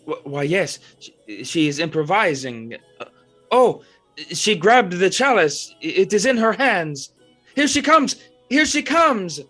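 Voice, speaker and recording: A man's voice: sits high, big pitch swings, bright, forceful tone, faint music behind, heavily acted. A man acting as an indignant, fussy court ballet master in an audio drama, pompous and stammering. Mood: excited. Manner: halting, stammering, pompous wording.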